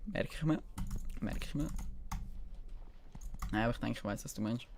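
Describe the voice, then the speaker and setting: A man's voice: mid-range voice, moderate pace, vocal fry, a little hiss or hum. Young Swiss German man livestreaming a game. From German-speaking Switzerland. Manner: slangy, mixing English gaming terms into speech. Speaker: live streamer. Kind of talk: casual gaming livestream chat. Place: indoors at a computer, keyboard typing behind.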